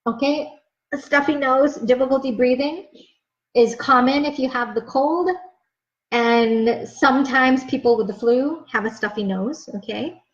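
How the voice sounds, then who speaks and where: Woman, young adult, ESL teacher, very slow deliberate speech, somewhat high voice, slightly muffled lo-fi recording. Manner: patient, repeats words and checks with "okay?". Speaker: English teacher. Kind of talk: slow, clear ESL lesson explanation. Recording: slightly dull, lo-fi sound.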